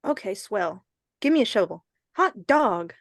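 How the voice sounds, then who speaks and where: A woman playing an eager, skeptical little schoolboy with slangy, excitable talk in an old-time radio suspense drama. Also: mid-range voice, a little slow, very noisy. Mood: excited, eager.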